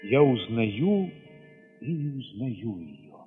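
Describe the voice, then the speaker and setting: A man with a husky voice reads a literary first-person story theatrically, moving between hushed passages and sudden swells. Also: medium pitch, big pitch swings, takes it slowly, soft background music. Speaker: narrator reading an audio play. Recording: reverberant, slightly dull sound.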